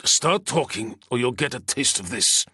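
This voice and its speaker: A man's voice: medium pitch, lively intonation, moderate pace, bright, forceful tone, breathy edge, dry recording, clean studio sound, pitched above the performer's own voice. A man voicing a hard, threatening Roman soldier with blunt, clipped speech in an audiobook. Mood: menacing. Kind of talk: audiobook character voice.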